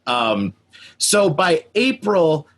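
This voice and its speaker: A man's voice: a little high, sing-song, very slow, fairly bright tone. A man hosting a casual interview, talking as an enthusiastic fan to his guest.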